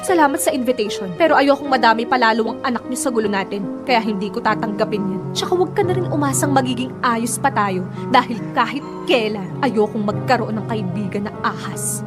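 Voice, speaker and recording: A Filipino actress playing a bitter, sarcastic young mistress out for revenge in a Tagalog radio drama. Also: female voice, a little high, lightly acted. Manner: sarcastic, taunting.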